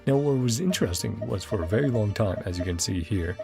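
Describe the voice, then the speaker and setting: A man's voice: fairly low pitch, expressive, fast, gravelly. A man giving a casual, rambling game playthrough commentary, musing as he goes and easily amused. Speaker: gaming content creator. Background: game music.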